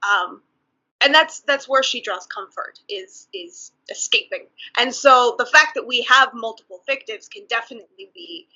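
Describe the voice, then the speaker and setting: A woman speaking English with a Mexican accent in a deliberate, earnest way, often laughing softly between thoughts, in a casual conversation over a video call. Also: a little high, expressive. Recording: muffled video call audio.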